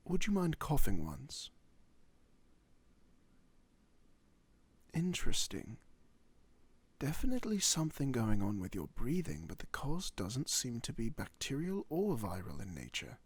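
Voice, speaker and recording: Man playing a courteous, husky werewolf doctor. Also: medium pitch, no echo. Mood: attentive, examining. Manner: formal, gently reassuring, old-fashioned wording. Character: courteous male werewolf doctor in a fantasy world. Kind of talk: ASMR roleplay.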